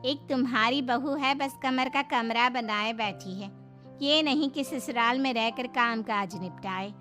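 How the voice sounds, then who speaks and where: A gossipy young neighbour wife from a cartoon story, played by a woman. She is frank, coaxing and emphatic, with a very high, sharp-edged bright voice over soft background music. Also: measured pace. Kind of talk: dramatized Hindi moral story for cartoon.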